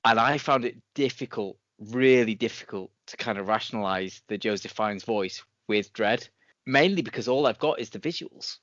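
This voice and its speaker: A man's voice: on the low side, moderate pace, a bit bright, no echo, dull audio. A man co-hosting a podcast in a casual, conversational way, circling and rephrasing his points. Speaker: podcast co-host. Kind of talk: casual review chat.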